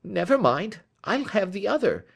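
Brief: male reader as a desperate young thief, pleading and agitated, lively pitch. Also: normal speed, gravelly, slightly rough, exaggerated voice. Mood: anxious. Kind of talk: audiobook reading.

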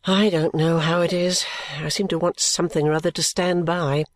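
A woman voicing a careless, easygoing and affectionate young gentleman in an audiobook, with a breezy, musing manner. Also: lowish voice, flat delivery, moderate pace, voice pushed down, close to an everyday voice. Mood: earnest, vexed. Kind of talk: audiobook character dialogue.

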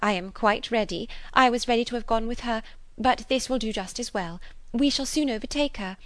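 A woman's voice: a little high, fairly quick, fairly bright tone, no room sound, voice pushed up, close to an everyday voice. A woman reading a classic novel, voicing an eager, kindly aunt who chatters in a fluttery, rambling way. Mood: eager, flustered. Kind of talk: classic novel character dialogue.

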